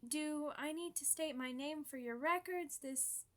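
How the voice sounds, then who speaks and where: A woman's voice: high-pitched, unhurried, a bit bright, voice pushed up. A woman voicing a chatty, playful, theatrical old vampire in an ASMR roleplay, full of mock-grand asides. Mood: chatty, gracious.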